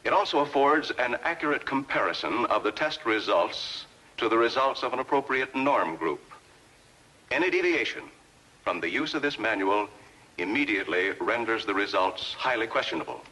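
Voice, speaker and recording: A man with an American accent reads formal, scripted narration for a 1950s instructional film, measured and precisely enunciated. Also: mid-range voice, animated, pushed and bright, low-quality recording. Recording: vintage 1950s film soundtrack.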